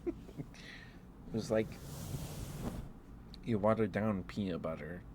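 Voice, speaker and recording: A man streaming ASMR, chatting in a soft, drowsy, rambling way close to the microphone. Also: on the low side, slow, noisy background. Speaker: live streamer. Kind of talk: rambling ASMR livestream chat.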